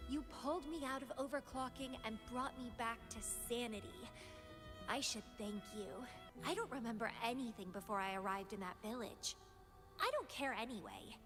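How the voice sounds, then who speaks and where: Woman acting a high-voiced, teasing, obsessive young woman. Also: expressive, normal speed, lightly acted. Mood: teasing, excited. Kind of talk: anime-style video game voice acting. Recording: loud music behind the voice. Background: music.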